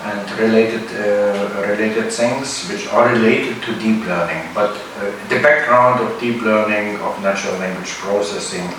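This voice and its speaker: An older man answers slowly, often pausing to search for the right English words. His fairly low voice sounds distant from the mic in a very echoey room, over a clear hiss of background noise. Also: steady tone. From Germany. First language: German. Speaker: professor being interviewed. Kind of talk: interview answers.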